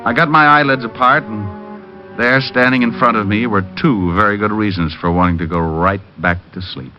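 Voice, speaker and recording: Wry private eye, played by a man, lowish deadpan voice, dulled 1940s radio recording. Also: normal speed, natural voice. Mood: wry narration. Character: wisecracking, unflappable male private detective. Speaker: lead and narrator. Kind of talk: 1940s radio detective narration and acting. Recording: muffled old radio recording. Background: music.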